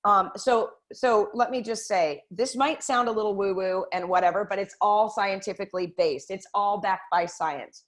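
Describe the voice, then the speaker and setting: A woman's voice: medium pitch, normal speed, hardly any pauses. A woman hosting a casual health talk to her viewers from her computer, earnest and direct, often calling them "you guys". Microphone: computer microphone.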